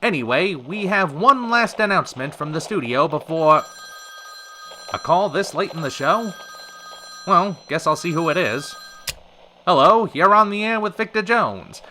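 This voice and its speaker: A man's voice: sits high, lively intonation, measured pace, pushed and bright, a little hiss or hum, heavily acted. A man acting as a genial radio show host, with folksy, theatrical patter in an old-time radio style. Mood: smooth showmanship.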